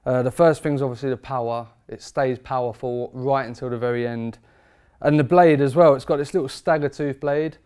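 A man's voice: medium pitch, steady tone, moderate pace, a little mellow, clear, resonant tone. A man, a barber chatting enthusiastically about trimmers in a barber shop. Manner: enthusiastic, emphatic, informal. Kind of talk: product review chat.

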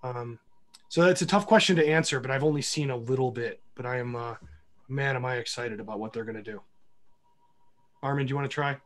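An American man speaks as a panelist in a casual discussion. His voice is mid-range in pitch. He is opinionated but often qualifies his points as he goes. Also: brisk, pauses often. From Cleveland, United States. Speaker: panelist, wine documentary filmmaker. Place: remote virtual panel call.

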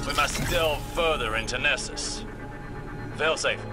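A man's voice: medium pitch, unhurried, lightly acted. A man playing a stern, learned strategist in a video game, speaking in a grave, formal, commanding manner. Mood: grave, determined. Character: stern, learned male strategist guiding a soldier. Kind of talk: scripted video game dialogue. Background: loud game music.

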